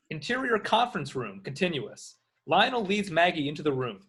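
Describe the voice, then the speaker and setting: A man's voice: on the high side, sing-song, measured pace, a bit bright. A man reading screen directions aloud from a script in a clipped, announcing way.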